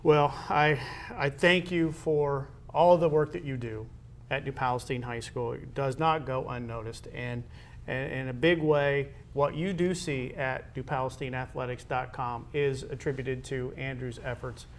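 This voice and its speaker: A man hosting a casual interview show, speaking conversationally and piecing his phrases together haltingly. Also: mid-range voice, fairly even intonation, a little hiss or hum. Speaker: host of a school athletics talk show.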